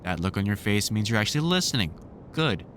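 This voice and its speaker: A man's voice: lowish voice, sing-song, fast talker, a bit bright, a little hiss or hum. A man playing a protective older vampire hunter and mentor in an audio roleplay, talking in a reassuring, wry and casually sweary way. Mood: earnest warning. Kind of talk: one-sided audio roleplay, conversational comfort scene.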